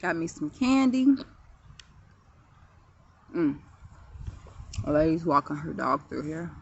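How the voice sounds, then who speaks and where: Woman, late 30s, unhurried chatty vlogger, outdoors on a phone, muffled lo-fi sound. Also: deep voice, sing-song, gravelly, slight background noise. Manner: chatty, laughs often, talks to viewers. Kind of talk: casual outdoor vlog talk. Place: outdoors in a quiet park.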